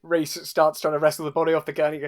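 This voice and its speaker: A man's voice: fairly high pitch, monotone, fast talker. A man improvising casual narration and banter as a player in a roleplay podcast.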